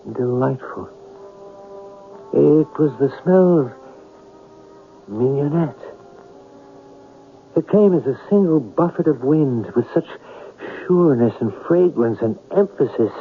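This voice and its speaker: An actor playing a brooding storyteller in a 1970s radio drama, speaking in a deliberate, ruminative way with dramatic pauses. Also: male voice, on the high side, slight background noise. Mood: haunted wonder. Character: brooding male storyteller haunted by a mystery. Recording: muffled vintage radio broadcast with music.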